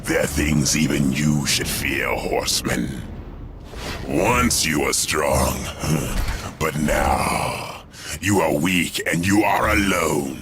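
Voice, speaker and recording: An actor playing a mocking demon servant who taunts and gloats with menace. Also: male voice, very deep voice, takes it slowly. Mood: taunting. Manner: taunting, laughing. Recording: noisy game ambience behind the voice.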